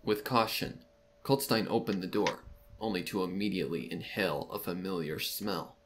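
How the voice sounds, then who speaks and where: A man's voice: sits low, moderate pace, slight background noise. A man reading a story aloud as narrator, in a dramatic scripted narration style. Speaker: fan fiction narrator. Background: music.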